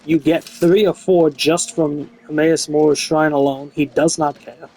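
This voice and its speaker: A man's voice: a little high, little pitch movement, a little slow, darkish tone, poor audio. A man giving a casual, unscripted video game review in a frank, opinionated way. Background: music behind the voice.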